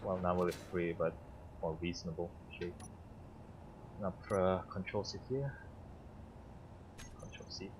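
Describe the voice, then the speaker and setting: A man whose first language is Korean, streaming a game and thinking aloud in a halting way with many fillers. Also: deep voice, fairly even intonation, background noise. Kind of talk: casual gameplay commentary.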